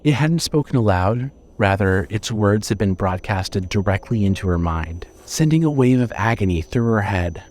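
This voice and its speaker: A man narrating scripted horror fiction in a measured, dramatic storytelling manner. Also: lowish voice, lively intonation, darkish tone.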